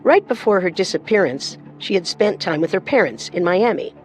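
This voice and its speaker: A woman's voice: on the low side, expressive, normal speed, low-quality recording. Female true crime narrator over music. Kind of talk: scripted dramatic narration.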